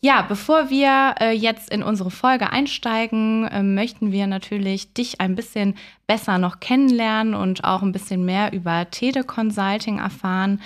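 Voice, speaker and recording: A woman's voice: medium pitch, normal speed. A woman hosting an interview podcast, introducing and questioning a guest. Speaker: podcast host.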